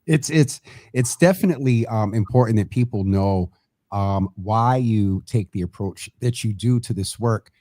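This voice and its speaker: Mellow low-voiced male show host. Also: moderate pace, no echo. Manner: warm-up host, long winding questions with fillers. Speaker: podcast host. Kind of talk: interview hosting. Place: studio room.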